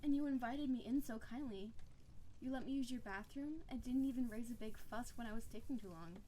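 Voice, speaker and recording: An affectionate, possessive snow-spirit wife, played by a woman, talks intimately to her husband in a roleplay audio drama. Her voice sits a little high but stays mellow and relaxed, with a teasing, gushing devotion. Background noise is audible behind her. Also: fairly quick, lightly acted, rough recording. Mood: gushing, obsessive.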